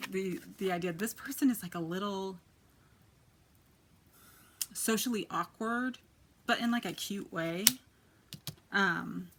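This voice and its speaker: A woman in her thirties with a quiet, soft voice, chatting casually as she gives a tarot reading. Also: medium pitch, a little hiss or hum. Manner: chatty, lots of ums and likes. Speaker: tarot reader.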